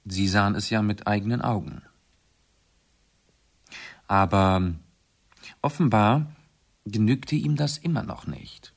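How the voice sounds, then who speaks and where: An actor playing an urbane, self-assured aristocratic amateur sleuth in a German radio play, explaining precisely and theatrically. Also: male voice, on the low side, takes it slowly, vocal fry, pauses often, full character voice. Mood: savouring, dramatic.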